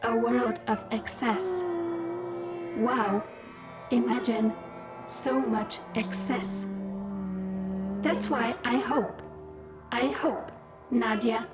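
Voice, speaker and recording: Woman acting as a controlling spaceship suit ai, high voice, slow n coaxing, super precise words, music behind, sci-fi radio play. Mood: seductive. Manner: slow, deliberate, over-articulated, intimate and insinuating. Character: possessive, coaxing spacesuit AI machine, self-satisfied and controlling. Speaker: actor in an audio drama. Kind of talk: theatrical science fiction monologue.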